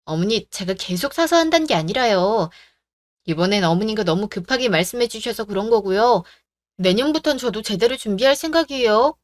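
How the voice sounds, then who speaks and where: A woman's voice: mid-range voice, animated, fairly quick, no room sound, clean studio sound, natural voice. A woman voicing a young bride who is polite to her elders and firm with her fiancé. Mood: apologetic. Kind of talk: radio drama acting.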